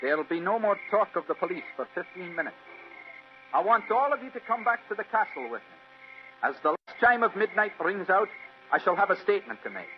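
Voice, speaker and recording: An actor playing an earnest, anxious young heir with a formal, impassioned delivery in a vintage radio drama. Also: male voice, high voice, animated, a little fast, soft background music. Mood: resolute, formal. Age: young adult. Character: earnest, anxious twenty-year-old heir to a castle. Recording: muffled old radio recording with hum.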